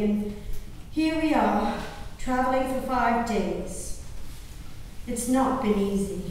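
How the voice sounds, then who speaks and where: A woman acts out a wayward, grieving colonial lady reading her diary theatrically in a high voice. Her delivery is deliberate and emotive, with drawn-out words. She is heard from far off in a big, heavily reverberant hall. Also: darkish tone. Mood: anxious, resolute. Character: grieving, wayward colonial-era gentlewoman and mother, outwardly dutiful. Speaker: stage performer. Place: live performance in a large echoey room. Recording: echoey hall, distant mic, faint noise.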